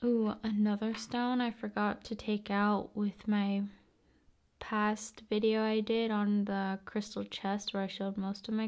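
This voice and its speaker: A woman hosting ASMR in a calm, soothing manner, moving between soft speech and whispering. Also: mid-range voice. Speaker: ASMR video host.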